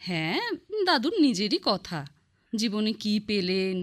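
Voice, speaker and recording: An actress playing a gentle, anxious young granddaughter in a radio drama. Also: female voice, medium pitch, sing-song, measured pace.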